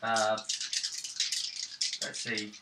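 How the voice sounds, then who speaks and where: A man's voice: on the low side, completely flat delivery, drawn-out words. Male game master, noisy roomy recording. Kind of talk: casual tabletop role-play game refereeing. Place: indoors around a gaming table. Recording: roomy, distant mic, loud rattly table noise.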